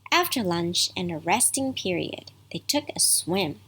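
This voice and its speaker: A woman's voice: on the low side, sing-song, a little slow, fairly bright tone, breathy edge. A woman narrating a scripted children's bedtime story as the storyteller.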